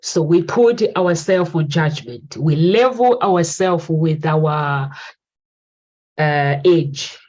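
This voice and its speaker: A woman's voice: low-pitched, takes it slowly, a little mellow. A woman in her forties hosting a talk show, giving an earnest, reflective motivational monologue that circles back to repeat her key phrases. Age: 40s. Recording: dull lo-fi recording.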